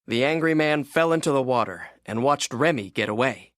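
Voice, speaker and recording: Animated male storybook narrator. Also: mid-range voice, normal speed, no room sound, studio quality. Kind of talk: scripted children's read-along narration.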